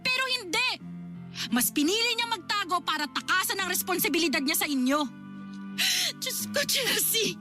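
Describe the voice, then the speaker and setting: A woman's voice: very high-pitched, expressive, normal speed. A woman acting as an exasperated older sister in a Filipino radio drama, emotional and scolding as she confronts a wayward sibling. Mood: exasperated, upset. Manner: emotional, scolding, insistent questioning. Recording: dramatic music bed behind.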